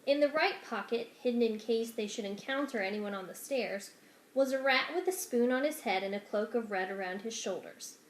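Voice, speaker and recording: A woman with a fairly high voice narrates a children's story expressively. The room adds a clear echo to her reading. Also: normal speed, a little hiss or hum. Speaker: story reader. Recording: roomy indoor echo.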